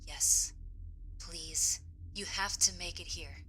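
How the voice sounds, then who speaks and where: A woman's voice: fairly low pitch, slow pace, pushed and bright, slight background noise, heavily acted. A woman voicing a torn, anxious council member in an audio drama, speaking tense and pleading.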